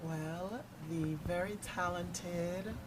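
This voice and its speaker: A woman's voice: lowish voice, fairly even intonation, very slow, background noise. A woman co-hosting a radio show, making casual introductions and banter. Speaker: radio co-host introducing guest. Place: radio studio.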